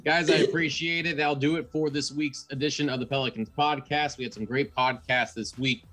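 A man's voice: medium pitch, fairly even intonation, fairly quick, a bit bright. A man hosting a sports podcast in an upbeat, enthusiastic and conversational way.

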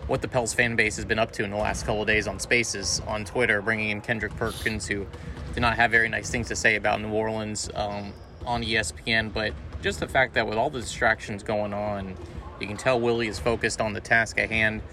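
Male sports podcast host, mid-range voice, rapid upbeat conversational delivery, clear ambient noise behind him. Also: fairly low pitch, flat delivery, bright, forceful tone. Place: sports arena before a game.

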